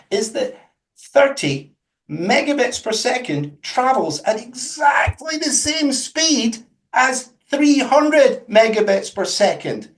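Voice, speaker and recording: A man's voice: on the high side, echoey room. A man from Scotland with a Scottish accent, explaining things in a conversational webinar with emphatic, jokey asides over a video call. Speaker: webinar guest speaker. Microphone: webcam with mic on a video call.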